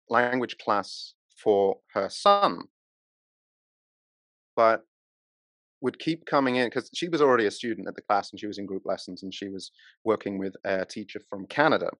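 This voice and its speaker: A man with a somewhat low voice explains his research patiently, pausing and restarting as he thinks. The sound is dry and close. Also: a bit bright, no echo. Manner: explanatory, academic, with frequent restarts. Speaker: university researcher and lecturer. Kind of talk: conversational interview. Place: Japan.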